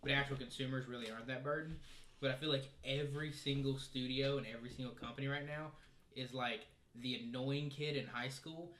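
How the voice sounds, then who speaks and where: A man's voice: mid-range voice, fairly even intonation, fairly quick, a bit bright. A man hosting a casual group chat, giving opinions in slangy, joking language, recorded in a roomy space. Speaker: podcast host. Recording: roomy sound with background noise.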